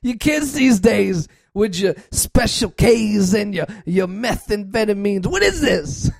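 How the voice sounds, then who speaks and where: A man playing an ancient, warty, chain-smoking old woman in an improv comedy scene, loud and grumbling about the old days. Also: high-pitched, expressive, slow pace, gravelly, no echo, studio quality, full character voice. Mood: grumpy.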